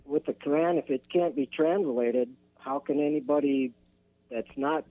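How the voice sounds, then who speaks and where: A man's voice: a little high, normal speed, dry recording, narrow, tinny audio. A man calling in to a radio show, pressing the host with skeptical questions. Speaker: caller.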